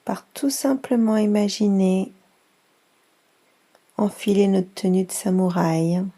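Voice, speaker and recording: A woman guides children through a yoga nidra relaxation. Her voice is mellow and warm, and she talks very slowly, leaving long pauses between short, gentle phrases. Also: medium pitch. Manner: slow, soft guiding voice with long pauses.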